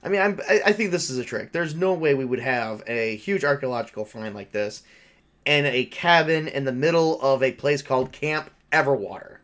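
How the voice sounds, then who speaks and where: Man running a tabletop game, halting delivery. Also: medium pitch, a bit bright. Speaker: game master of a tabletop horror game. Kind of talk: improvised tabletop roleplay and narration, conversational.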